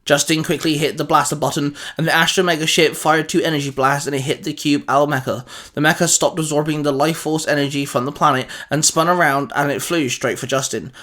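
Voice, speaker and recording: A man narrates a scripted story in a dramatic style. His voice sits a little higher than most men's, and he reads as a storyteller would. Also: fairly even intonation, a little fast, few pauses, bright, forceful tone.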